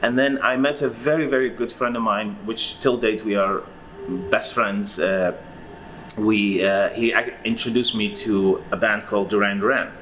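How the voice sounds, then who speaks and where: A man's voice: lowish voice, steady tone, normal speed, a bit bright, slight background noise, low-quality recording. A man in his forties from Iraq, a record-collector guest who talks through his story in a rambling, hesitant way with lots of fillers. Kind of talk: casual interview answers.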